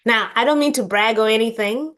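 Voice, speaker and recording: A woman from Southern Africa hosting an event and introducing the guest speaker in a lively, warm way. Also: on the high side, a little fast. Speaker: host.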